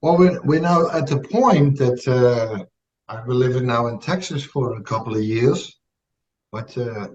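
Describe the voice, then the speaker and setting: A man's voice: mid-range voice, measured pace, a little mellow, distant mic. A man with a Dutch accent who hosts a casual chat and keeps asking questions, often tagging them with "right?". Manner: poses questions, ends lines with a tag "right?". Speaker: podcast host. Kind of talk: casual conversation. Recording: dull lo-fi sound, set back from the mic.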